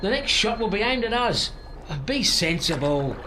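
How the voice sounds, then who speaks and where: A man playing an anxious harbour pilot in a community radio play, urgent and pleading with hesitant pauses. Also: high voice, sing-song, background noise.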